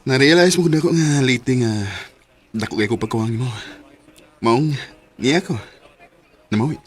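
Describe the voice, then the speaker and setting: A man playing an apologetic, coaxing young boyfriend in a radio drama, speaking haltingly with a Cebuano Filipino accent. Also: mid-range voice, husky, natural voice.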